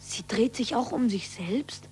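Curious schoolboy of twelve, earnest, high and a touch husky, vintage radio play. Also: female voice, animated, unhurried, darkish tone, exaggerated voice. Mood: hushed wonder. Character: earnest twelve-year-old boy, curious pupil of an astronomer. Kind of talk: 1940s German radio play acting.

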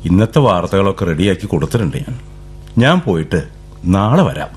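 An actor playing an eager young cousin who helps at the family newspaper, chatty and coaxing. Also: male voice, low-pitched, very animated, a little fast, a little mellow, husky, full character voice. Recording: vintage radio broadcast with music.

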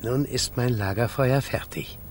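An actor playing a greedy, self-satisfied tramp who steals chickens, savouring words theatrically in a children's radio play. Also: male voice, mid-range voice, measured pace, close to an everyday voice. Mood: gloating. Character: gloating, greedy male chicken thief and tramp.

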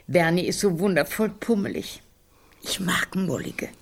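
An actress playing a fretful, defensive elderly woman in a German radio drama, plain-spoken and homely, with a breathy, husky voice. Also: fairly low pitch, expressive, a little slow, heavily acted. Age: older.

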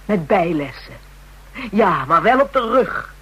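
A woman's voice: on the low side, very animated, slow pace, slightly rough, full character voice. A woman playing a bitter, hard-bitten young call girl in a radio drama, coarse and defiant. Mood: brash, bitter.

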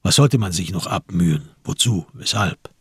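A man with a husky voice narrating a literary radio crime play in measured, deliberate phrases with dramatic pauses, in speech. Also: sits low, lively intonation, dry recording, studio quality.

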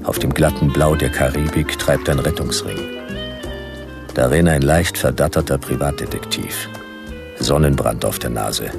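Very deep, gravelly detective narrator. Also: male voice, normal speed, over music. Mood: grim, dry. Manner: deadpan, clipped, noir-like. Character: laconic male private detective narrating his adventure. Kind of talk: dramatic radio play narration.

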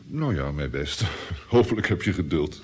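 An actor playing a sly, insinuating courtier with a casual manner in a radio drama. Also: male voice, a bass voice, expressive, moderate pace, a little mellow, breathy edge, full character voice. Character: smooth, insinuating male courtier.

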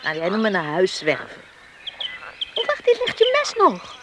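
A woman's voice: medium pitch, huge pitch swings, normal speed, very noisy. An actress in a Dutch radio play as a caring but firm, down-to-earth countrywoman. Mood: playful, firm.

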